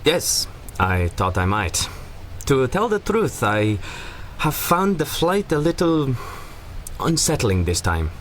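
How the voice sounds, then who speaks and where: A man playing an anxious, formal and troubled German gentleman traveller in a radio drama. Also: medium pitch, very animated, takes it slowly, slight background noise. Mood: polite unease.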